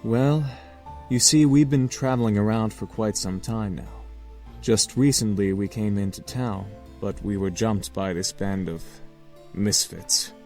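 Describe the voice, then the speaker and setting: A man voicing a polite, composed young incubus in a visual novel game, speaking in a measured, formal way. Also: on the low side, darkish tone, soft background music. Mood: explaining.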